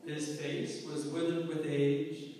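Male puppet-show narrator, very slow, deadpan storybook style, far from the mic, long hall reverb. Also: on the high side, faint music behind.

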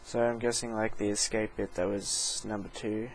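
A man's voice: lowish voice, little pitch movement, normal speed, slight background noise. A man doing casual let's-play commentary as a gaming YouTuber, offhand and blunt. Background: game music.

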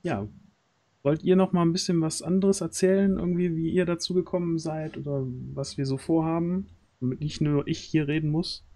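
A man's voice: fairly high pitch, fairly even intonation, a little fast, warm tone. A man, around his thirties, hosting a livestream in a casual, hesitant way, searching for words, into a close desk microphone. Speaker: stream host. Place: indoors, at home on a group video call. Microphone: close desk microphone on boom arm.

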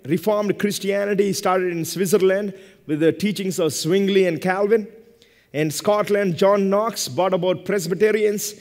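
A man's voice: high voice, fairly even intonation, a little slow, a little mellow. A man in his thirties preaching as a pastor from a lectern, emphatic, in short phrases repeated for stress. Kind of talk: sermon, preaching and teaching from a pulpit. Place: church stage at a lectern, indoors. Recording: long hall reverb.

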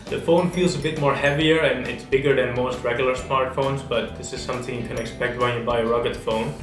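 A young adult man presents a product unboxing to camera in a medium-pitched voice. The room adds noticeable echo, and music plays behind him. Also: fairly even intonation, brisk. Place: small indoor studio, seated at table. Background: background music.

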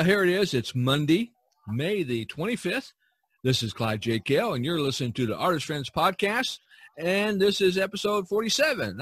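A man hosting a casual podcast over a rough internet-call connection. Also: high voice, fairly bright tone, no room sound. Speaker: podcast host. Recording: poor internet-call audio, faint music.

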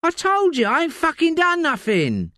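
A man voicing a scrawny, edgy young woman suspect, shrill and agitated. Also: huge pitch swings, normal speed, bright, forceful tone, dry recording, studio quality, exaggerated voice.

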